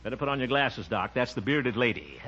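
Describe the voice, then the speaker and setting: A man's voice: medium pitch, animated, brisk, bright, forceful tone, slightly rough. A man playing a jovial, folksy small-town radio homemaker in old-time radio comedy style, chuckling and wisecracking. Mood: cheerful. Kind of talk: 1940s-50s radio sitcom acting. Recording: muffled old radio recording, music behind.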